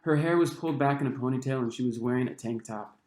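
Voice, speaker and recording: A man, the author, reading his own young adult novel aloud with expressive storytelling. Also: mid-range voice, fast talker, roomy sound. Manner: expressive storytelling, acting out the dialogue.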